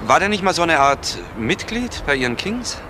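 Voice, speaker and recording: An actor playing a blunt, matter-of-fact plainclothes detective, asking terse questions in a German crime radio play. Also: male voice, fairly high pitch, big pitch swings, moderate pace. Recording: noisy old radio drama recording.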